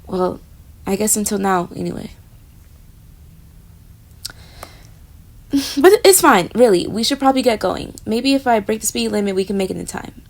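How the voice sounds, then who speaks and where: A young woman voicing a flustered, jealous roommate in a romantic audio roleplay, talking straight to the listener and trailing off into soft murmurs. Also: medium pitch, lively intonation, a little fast. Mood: hurt.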